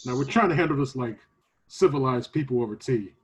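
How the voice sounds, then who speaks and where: Man acting earnest, husky newsboy leader. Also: mid-range voice, lively intonation, fairly quick. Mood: earnest, firm. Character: earnest newsboy gang leader guarding his turf. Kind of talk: improvised comedy sketch.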